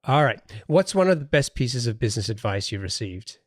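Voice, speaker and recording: A man's voice: medium pitch, very animated, brisk, dry recording, studio quality. A man hosting a casual interview podcast, thinking aloud with hesitations and repeated words.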